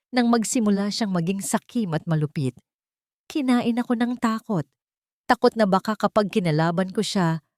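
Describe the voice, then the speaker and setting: Woman voicing an earnest, remorseful elderly mother-in-law, lively intonation. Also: medium pitch, dry recording, studio quality, voice pushed up, natural voice. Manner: serious, emotional confiding.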